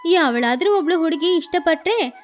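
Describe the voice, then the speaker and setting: A woman's voice: very high voice, lively intonation, moderate pace, faint music behind. A woman voicing a nosy, teasing village neighbour in a chiding manner for a storybook tale.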